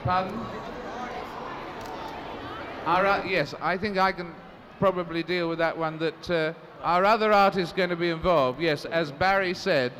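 A man's voice: high voice, unhurried. A man moderating a press conference, speaking formally and measuredly, with frequent restarts and fillers. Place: large assembly hall. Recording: reverberant hall, faint noise.

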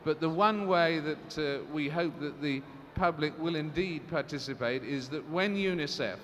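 A man's voice: on the high side, moderate pace, a bit bright. A man moderating a press conference, speaking formally and measuredly, with frequent restarts and fillers. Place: large assembly hall. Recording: reverberant hall, faint noise.

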